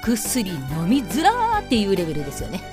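A woman's voice: mid-range voice, huge pitch swings, a little fast, music in the background. A woman hosting a radio show in bubbly casual talk, with playfully over-polite phrasing.

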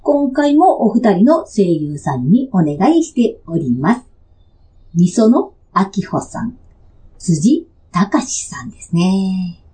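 A woman's voice: medium pitch, very animated, takes it slowly, dark tone. A woman hosting a radio show in a polite, playful, chatty way from prepared material. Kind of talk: scripted, chatty host monologue.

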